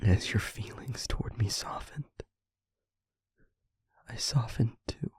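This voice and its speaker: Man acting a breathy, whispering, tormented demon lover. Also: medium pitch, darkish tone, no echo, studio quality. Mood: tender, sorrowful. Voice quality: breathy, husky, often whispered. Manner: slow, halting, emotionally pleading. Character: tormented, remorseful male demon confessing love. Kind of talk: intimate scripted audio roleplay monologue.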